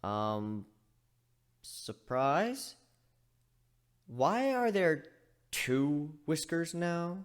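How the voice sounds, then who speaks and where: A man's voice: a little high, sing-song, drawn-out words, long pauses, smooth, exaggerated voice. A man voicing a chatty, wry griffin who narrates a fan fiction story in a conversational, rambling way. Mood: casual, friendly. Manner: conversational, rambling, self-interrupting.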